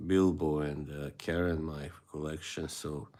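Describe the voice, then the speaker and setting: Slow, deep-voiced man. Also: flat delivery, a little mellow. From Bosnia and Herzegovina. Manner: rambling and hesitant, chuckling often. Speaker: livestream host. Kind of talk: casual live-stream chat, replying to viewers.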